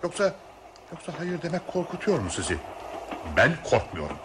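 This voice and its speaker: A man's voice: fairly high pitch, big pitch swings, normal speed, a bit bright, a little hiss or hum. An actor playing an argumentative, self-protective male train passenger in a radio play, dismissive and formal. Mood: impatient. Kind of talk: Turkish radio theatre acting.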